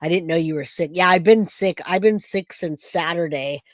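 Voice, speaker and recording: A man hosting a true crime livestream, hoarse and raspy from a cold, chatting casually and greeting viewers by name. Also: a little high, normal speed, dry recording, studio quality.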